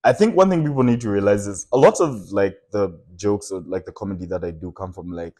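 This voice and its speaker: A young man from Zambia, a comedian guest telling stories in a relaxed podcast chat, with lots of fillers and laughter. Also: lowish voice, brisk. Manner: storytelling, laughs often, many fillers.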